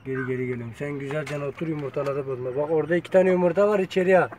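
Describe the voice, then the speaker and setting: A man's voice: fairly high pitch, fairly even intonation, rapid-fire, a little mellow, a little hiss or hum. A man talking casually to his viewers while filming his chickens in an outdoor yard on a phone camera, chatty and coaxing. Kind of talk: casual vlog talk to viewers.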